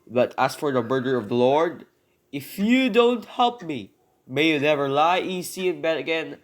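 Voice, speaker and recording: A man's voice: on the high side, animated, normal speed, lightly acted. A man playing an embittered poor convicted burglar in a radio drama, speaking formally and earnestly as he pleads his case and recounts his story. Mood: pleading, bitter. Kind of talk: hobby radio drama acting, long monologue.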